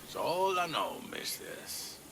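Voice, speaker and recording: A male actor playing a weary, wary old informant in a video game cutscene, gravelly and warning. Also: on the high side, wildly swooping pitch, slow pace, background noise, exaggerated voice. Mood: weary, fed up. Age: older. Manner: hesitant, warning.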